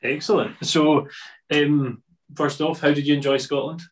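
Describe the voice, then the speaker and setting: A man's voice: a little high, steady tone, a little slow, rough recording. A man hosting a podcast who chats casually with his guest in an easygoing, self-deprecating way. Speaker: podcast host.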